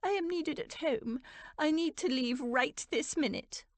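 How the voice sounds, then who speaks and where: A woman's voice: high-pitched, very animated, normal speed, no echo, voice pushed up. A woman voicing an agitated, impatient old aristocratic English lady who wails and insists in distress, in a storybook reading.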